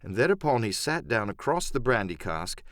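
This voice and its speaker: A man reading dramatic first-person adventure narration for an audiobook, measured and literary. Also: on the low side, big pitch swings, dry recording, studio quality.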